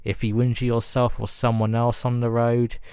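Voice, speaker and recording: A man reading a scripted emergency broadcast announcement in a formal, measured and grave manner. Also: medium pitch, completely flat delivery, dark tone, clean tone, no room sound, clean studio sound.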